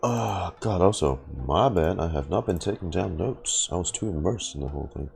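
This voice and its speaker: A man's voice: low voice, lively intonation, moderate pace, vocal fry, darkish tone. A man giving casual reaction commentary as he streams a video game, close on the microphone. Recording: game music behind the voice.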